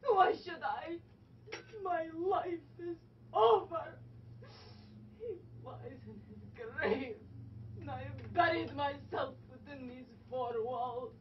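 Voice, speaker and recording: A woman's voice: high voice, expressive, takes it slowly, pauses often. Woman acting a mournful stubborn young widow, theatrical, muffled and far from the mic. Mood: mournful, resolute. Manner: mournful, declamatory. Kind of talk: melodramatic stage acting. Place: theatre stage, picked up from a distance. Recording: muffled, distant stage recording, faint noise.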